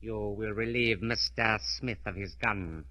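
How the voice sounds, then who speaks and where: A criminal mastermind of old radio drama, with a sharp, forceful voice. He is unhurried and precise, wrapping every command in cold, formal courtesy. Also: on the low side. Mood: politely menacing. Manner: formal, precise, menacingly polite. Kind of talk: 1940s radio acting.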